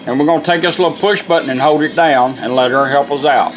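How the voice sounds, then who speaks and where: A man's voice: mid-range voice, fast talker, loud music behind the voice, poor audio. A middle-aged man giving a casual hands-on product demonstration, explaining step by step in a folksy, informal way. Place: indoors at a workbench.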